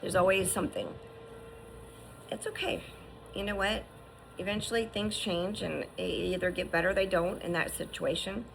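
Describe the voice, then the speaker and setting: Gravelly low-voiced woman in her fifties. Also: fairly even intonation, normal speed, a little hiss or hum, poor audio. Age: 50s. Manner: earnest, encouraging, repeats herself with restarts and "right?". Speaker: survivor sharing her story. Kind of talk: heartfelt personal testimony.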